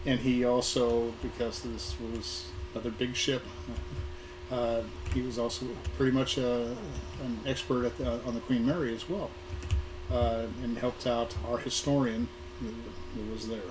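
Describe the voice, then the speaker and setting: Hoarse, halting American man over background noise. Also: medium pitch, flat delivery. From Southern California. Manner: halting, with repeated words and long hesitations. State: hoarse, getting over an illness. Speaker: guest speaker, museum programming manager. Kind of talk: informal career talk, reminiscing. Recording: noisy live recording with room ambience.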